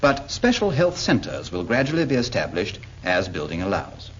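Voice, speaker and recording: A man's voice: low-pitched, big pitch swings, moderate pace, a little hiss or hum. A British man narrating a 1940s public information cartoon in a patient, explanatory manner. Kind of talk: 1940s scripted film narration, addressing a character. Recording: dull 1940s film soundtrack with music.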